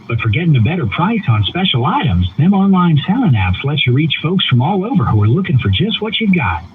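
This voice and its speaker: A man's voice: fairly high pitch, sing-song, a little fast, a little hiss or hum. A male AI voice assistant with a Southern US accent, answering in a folksy, homespun drawl full of country sayings. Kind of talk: folksy conversational replies. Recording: tinny phone-like speaker playback.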